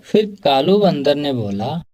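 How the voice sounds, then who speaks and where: A man's voice: fairly high pitch, very animated, unhurried, darkish tone. A man reading scripted narration for a children's moral story.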